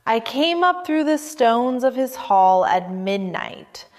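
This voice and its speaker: A woman playing a proud, melancholy, centuries-old female spirit who sings death, narrating a gothic audio drama in a solemn, hushed manner. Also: medium pitch. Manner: solemn, hushed, deliberate storytelling delivery. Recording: long cavernous reverb.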